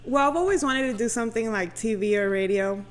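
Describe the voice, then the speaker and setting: A woman's voice: a little high, brisk. Native Spanish-speaking woman, casual radio guest. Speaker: radio presenter, podcast guest. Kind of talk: casual chat.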